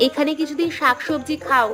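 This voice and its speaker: A woman voicing a nagging, teasing young village wife with a sharp tongue, in a folk-tale cartoon. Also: sits high, fairly even intonation, a little fast, natural voice. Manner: scolding, teasing. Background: background music.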